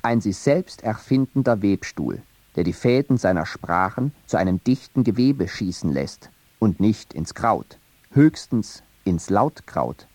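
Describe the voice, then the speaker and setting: A man reads an essayistic radio narration in a measured, reflective way. His voice sits low and sounds warm and dark. The studio sound is dry and close. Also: sing-song, no room sound.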